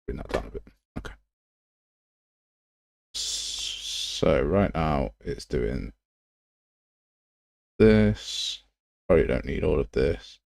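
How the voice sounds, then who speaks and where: A man's voice: a bass voice, big pitch swings, takes it slowly, creaky, pauses often, a little mellow, dry recording, studio quality. A man giving a casual live tutorial, talking to himself as he works and sounding self-deprecating. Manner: muttering to himself while working. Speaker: game development livestreamer.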